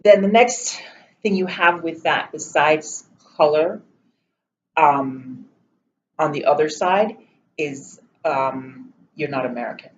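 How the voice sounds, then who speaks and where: A middle-aged woman from Jamaica with a Jamaican accent, recounting her life story in an interview in a measured, candid way. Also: deep voice. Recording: slightly muffled, faint room noise.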